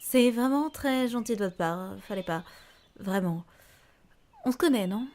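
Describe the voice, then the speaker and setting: A woman's voice: on the high side, animated, a little slow, a little mellow. Actress as bitter, sarcastic young woman. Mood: surprised, friendly. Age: young adult. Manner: sarcastic, casual, swearing. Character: bitter, sarcastic, foul-mouthed young woman, grieving daughter. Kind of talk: audio drama acting.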